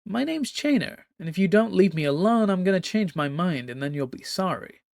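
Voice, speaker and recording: A man's voice: sits high, animated, a little fast, vocal fry, no echo, studio quality, voice pushed up. A man doing a brash cocky young villain. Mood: mocking. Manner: mocking, flippant, yelling.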